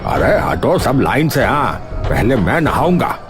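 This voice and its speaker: A man's voice: mid-range voice, big pitch swings, a little slow. A man voicing a blustering, self-important villager in a Hindi cartoon story, grumbling in a theatrical way. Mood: pompous, threatening. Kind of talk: animated Hindi cartoon dialogue. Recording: music behind the voice.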